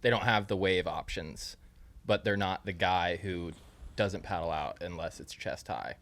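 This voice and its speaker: Easygoing low-voiced podcast host. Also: male voice, unhurried, a bit bright. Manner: easygoing, casual, swears lightly. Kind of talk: casual podcast interview. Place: podcast studio.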